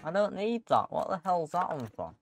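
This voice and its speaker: A man's voice: high voice, big pitch swings, normal speed, faint music behind. A man giving casual commentary while he plays a video game. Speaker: gaming video player.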